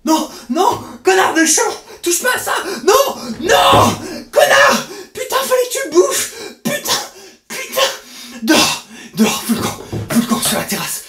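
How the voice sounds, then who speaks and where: A man's voice: extremely high pitch, huge pitch swings, measured pace, pauses often, bright, forceful tone, slight background noise, full character voice. Man acting a shrieking, frantic survivor in echo. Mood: enraged. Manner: screaming, repeating curses. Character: frantic, cursing survivor chasing off a cat. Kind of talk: audio drama acting. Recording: roomy echo, faint music.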